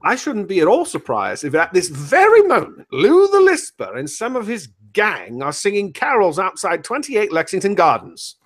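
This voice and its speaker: A man playing a brisk, bossy, condescending detective who repeats himself, in a loose comic parody of radio drama. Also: sits high, huge pitch swings, exaggerated voice. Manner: halting, repeats himself to correct others.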